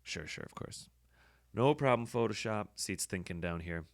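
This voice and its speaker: A man with a hoarse voice, casually talking through his editing work as a podcast host, thinking aloud with fillers. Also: lowish voice, expressive, normal speed, dry recording, clean studio sound. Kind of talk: casual screen-recording commentary.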